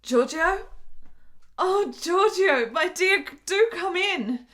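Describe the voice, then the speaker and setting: A woman's voice: very high-pitched, expressive, slow, fairly bright tone, exaggerated voice. An actress playing a frail, lonely, ailing woman of 1870s Italy in a radio drama, yearning and wry, with formal, delicate diction. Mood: eager, fond. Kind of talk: dramatic radio play dialogue.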